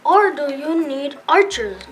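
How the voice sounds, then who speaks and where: Very high, bright baffled archer, female-acted. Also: sing-song, a little slow, a little hiss or hum, heavily acted. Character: plain-spoken young fantasy archer, easily baffled. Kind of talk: children's reader's theater acting.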